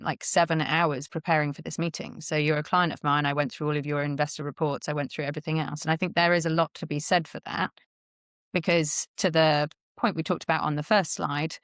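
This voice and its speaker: A woman hosting an online panel discussion, talking in a brisk, thoughtful, conversational way over a video call. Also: low voice, a bit bright, no room sound, studio quality. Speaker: host.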